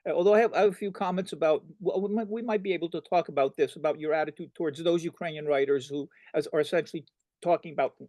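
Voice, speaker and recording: A man with a fairly high voice leads the conversation as its moderator. He piles up qualifiers and corrects himself mid-phrase, in a dry, close sound. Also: dark tone, dry recording. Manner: fast asides, lots of qualifiers and self-corrections. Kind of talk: public conversation on stage.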